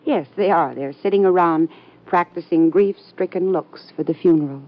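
Dry, sardonic niece played by a woman, very low voice, old radio play. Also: moderate pace, lightly acted. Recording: muffled old radio recording.

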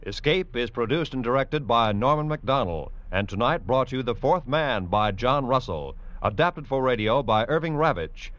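A man's voice: mid-range voice, lively intonation, moderate pace, hardly any pauses, fairly bright tone, faint music behind. A man reading scripted credits as a formal, polished mid-century radio announcer. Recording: dull old radio broadcast.

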